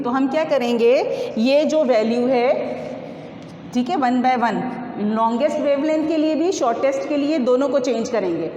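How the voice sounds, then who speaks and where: A woman's voice: sits high, mellow, lo-fi sound. A woman physics teacher lecturing through numerical problems in an instructive, repetitive way, often asking questions and answering them herself. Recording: echoey reverberant room with faint noise.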